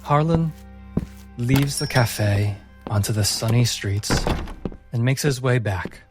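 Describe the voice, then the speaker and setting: A man narrating a tabletop game as game master in a measured, deliberate, dramatic storytelling manner. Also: medium pitch, over loud music.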